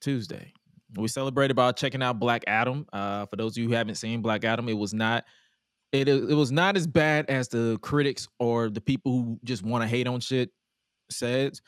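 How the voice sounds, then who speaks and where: A man's voice: mid-range voice, normal speed, clear, resonant tone, no room sound, clean studio sound. A man in his twenties hosting a podcast in a loose casual chat, profane and repeating himself. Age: 20s. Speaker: podcast host.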